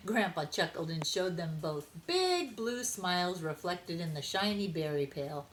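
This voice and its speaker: Expressive, unhurried older woman reading a storybook. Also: fairly low pitch, slight background noise, low-quality recording. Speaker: storybook reader. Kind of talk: expressive children's story narration.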